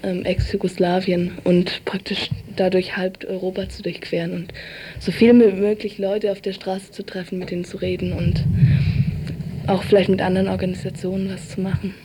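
A woman with a mellow, medium-pitched voice answers interview questions informally. She pauses hesitantly mid-sentence, and faint ambient noise sits behind her. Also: level tone, poor audio. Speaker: peace march participant being interviewed. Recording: clear background noise, ambient sound.

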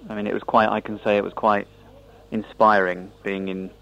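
A man speaking haltingly and informally as he is interviewed. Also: fairly low pitch, level tone, gravelly, bright, forceful tone. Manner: hesitant, halting. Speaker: interviewee describing a prison visit. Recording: dull lo-fi radio interview recording.

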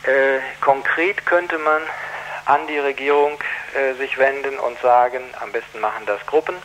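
A man gives earnest, considered answers as an activist guest on the radio. His voice sits at a middling pitch and he hesitates as he goes. There is a faint hum and noise on the recording. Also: steady tone, normal speed, a bit bright, poor audio. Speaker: activist guest against child labour. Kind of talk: radio interview, considered explanation.

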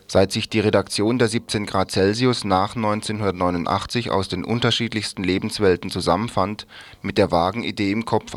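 A man's voice: on the low side, no echo. A man reading out written article excerpts as the quote reader in a radio feature.

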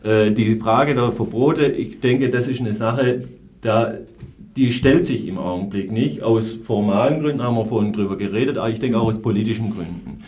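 A man's voice: fairly low pitch, moderate pace, a little mellow. A man speaking spontaneously as an interview guest on politics, earnest and often searching for his words.

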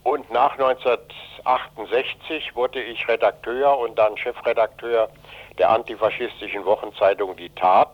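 An elderly man in his eighties from Germany, speaking deliberately and emphatically in a political radio interview, pausing between phrases. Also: on the low side, steady tone. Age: 80s. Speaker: interview guest, retired journalist and anti-fascist activist. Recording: dull, lo-fi radio recording.

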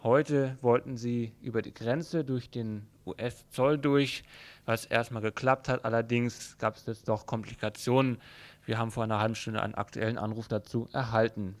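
A man presenting a radio news programme as host, in an informal, conversational and somewhat halting way. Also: mid-range voice, fairly even intonation.

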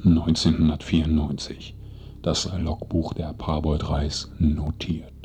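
A man's voice: rumbling low, completely flat delivery, slow, background noise. A man narrating a radio parody in a solemn, grandiose storyteller tone.

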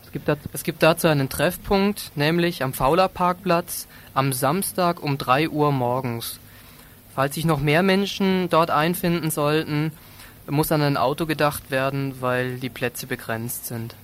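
A man reading scripted radio news in an earnest, slightly halting way, as a presenter. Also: a little high, a bit bright. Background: music under the voice.

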